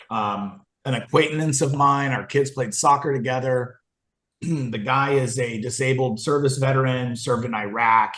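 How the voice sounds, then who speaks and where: A man's voice: medium pitch, fairly bright tone, roomy sound. A man presenting to a committee on a video call, talking casually and rambling with many ums and wry asides. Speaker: committee member presenting a supplier.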